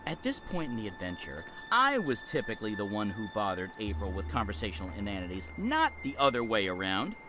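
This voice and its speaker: Cheeky crow with wildly swooping pitch. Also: male voice, huge pitch swings, fairly quick, hardly any pauses, music in the background, low-quality recording. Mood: playful bluster. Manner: sarcastic, chatty, theatrical. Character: wisecracking talking crow, male sidekick, cheeky but loyal. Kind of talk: video game character acting.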